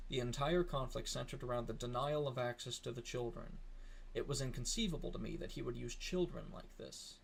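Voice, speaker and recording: A man narrating a written letter aloud in a solemn, measured, deliberate way. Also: medium pitch, gravelly, a little hiss or hum. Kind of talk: solemn scripted reading.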